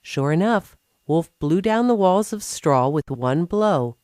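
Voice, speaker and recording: Slow, very expressive low-voiced woman storyteller. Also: no echo, studio quality. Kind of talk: scripted children's story narration.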